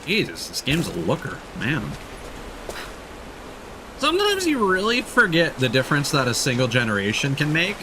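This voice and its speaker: A man's voice: a little high, wildly swooping pitch, moderate pace, background noise. A man giving casual livestream gameplay commentary, thinking aloud in a rambling, jokey way. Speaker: video game streamer. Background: game ambience.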